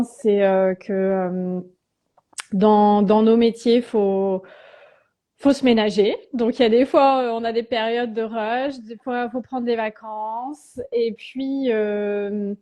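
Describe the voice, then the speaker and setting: A woman in her thirties, a guest business coach, talking reflectively in a casual live-stream conversation, often pausing and restarting her sentences. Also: medium pitch, warm tone. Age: 30s. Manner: reflective, halting, many restarts and fillers.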